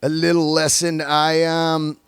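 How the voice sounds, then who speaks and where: A man's voice: a little high, completely flat delivery, a little slow, clean tone, no room sound. A man in his early fifties hosting a podcast, chatting casually to his listeners in a laid-back, slangy, sweary way. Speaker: podcast host and stand-up comedian.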